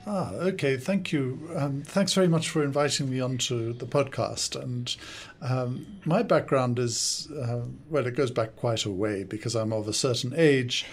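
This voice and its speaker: An older man born in South Africa and raised in the UK, speaking with an English accent, answering interview questions in a studio in a thoughtful, measured way with frequent hesitations. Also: medium pitch. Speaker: guest, consultant and coach. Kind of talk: podcast interview.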